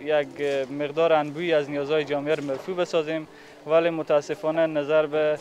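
A man's voice: on the high side, flat delivery, a little mellow. A young male factory representative answers formally. His phrasing is hesitant and slightly muffled by a face mask, picked up on a reporter's handheld mic in a big hall. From Afghanistan. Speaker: factory representative being interviewed. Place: large indoor factory hall. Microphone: handheld reporter microphone held toward him.

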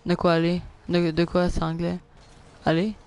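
Young man, Québécois accent, gamer streaming live, gravelly, slightly high voice, excitable. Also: moderate pace. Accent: Québécois French. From Quebec. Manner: excitable, groans and repeats "non" at misses. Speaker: gaming streamer. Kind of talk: live gameplay commentary reading chat.

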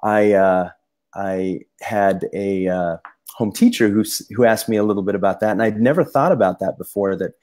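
Low-voiced male co-host, casual and halting, full of fillers and restarts. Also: a little mellow. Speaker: podcast co-host. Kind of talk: casual discussion.

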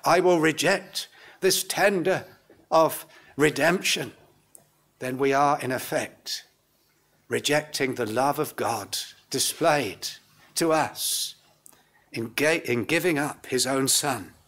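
An older man preaching a sermon in an earnest, solemn, deliberate way, in short phrases with pauses. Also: medium pitch, fairly bright tone. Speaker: pastor. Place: indoors, church pulpit. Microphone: lectern gooseneck microphone.

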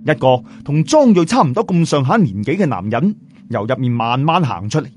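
A man with a Cantonese accent narrating a scripted story in a dramatic, expressive way. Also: medium pitch, a little fast. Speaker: audiobook narrator. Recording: music bed under voice. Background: background music.

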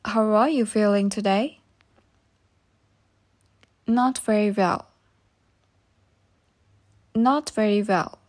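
A woman reading short English practice phrases slowly and saying each one twice for learners. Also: medium pitch, a little mellow. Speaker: English teacher.

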